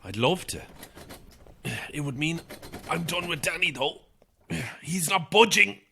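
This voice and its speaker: An actress playing an anxious, broke young Irish woman in an audio horror drama, talking informally and hesitantly in a husky voice. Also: low-pitched, animated, unhurried, heavy background noise. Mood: casual.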